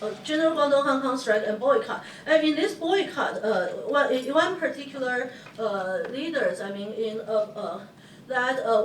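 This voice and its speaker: A woman's voice: mid-range voice, a little hiss or hum. A woman from Taiwan with a Taiwanese accent, a native Hokkien speaker, presenting at an academic panel with many fillers and restarts. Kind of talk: academic conference talk. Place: lecture hall. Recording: echoey room, distant mic, faint ambience.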